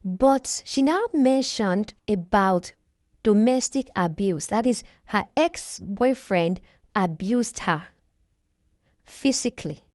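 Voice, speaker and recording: Woman, vlogger, chatty, halting, mid pitch, dry close mic. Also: expressive, a little mellow, no room sound. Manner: chatty, hesitant, trails off and restarts. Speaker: reaction vlogger. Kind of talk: casual recap commentary.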